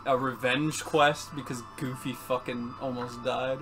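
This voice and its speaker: A woman's voice: a bass voice, steady tone, measured pace, over loud music. A woman hosting a casual let's play, joking crudely and swearing freely as she chats. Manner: crude, foul-mouthed, joking. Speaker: gaming video host.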